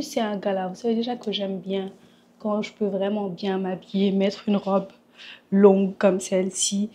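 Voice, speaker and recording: A woman's voice: medium pitch, darkish tone, a little hiss or hum. A woman from Senegal chatting in a home vlog, a little congested from a cold, dropping English phrases into her talk. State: recovering from a cold. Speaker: vlogger. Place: at home in Montreal.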